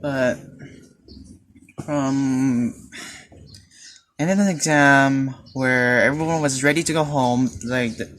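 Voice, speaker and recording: A teenage Filipino man telling a personal story in a hesitant, halting way, with many fillers and restarts. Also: medium pitch, fairly bright tone, slight background noise. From Philippines. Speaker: student. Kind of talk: personal confession monologue.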